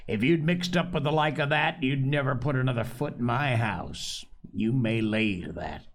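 A man's voice: medium pitch, normal speed, natural voice. A man voicing a hearty, commanding one-legged old sailor and innkeeper with folksy seafaring talk, in an audiobook. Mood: stern, mocking. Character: jovial, commanding one-legged old sea-cook innkeeper, secretly shrewd. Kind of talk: audiobook character voice.

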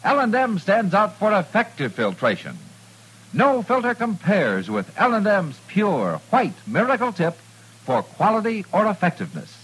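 A man with an American accent delivering a 1950s radio advertisement as a commercial pitchman. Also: huge pitch swings, normal speed, a bit bright, husky, poor audio.

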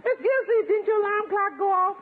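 A woman's voice: very high-pitched, expressive, fairly quick. A woman playing a long-suffering household cook in 1950s radio comedy, dutiful and drily deferential. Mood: humouring. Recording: tinny 1950s radio recording.

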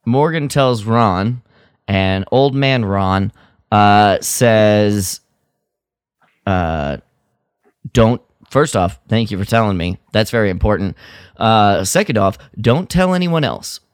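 A man's voice: fairly low pitch, expressive, slow, clear, resonant tone, dry recording, clean studio sound. A man co-hosting a podcast, chatting casually and sometimes searching for his words. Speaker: podcast co-host. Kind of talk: casual chat about Star Wars lore.

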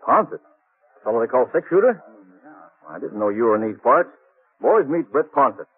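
A man's voice: mid-range voice, very animated, moderate pace, natural voice. A man playing a determined frontier sheriff in a 1950s radio western, folksy and matter-of-fact. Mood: friendly, businesslike. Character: plainspoken, determined frontier sheriff leading a posse. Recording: dull old 1950s radio recording.

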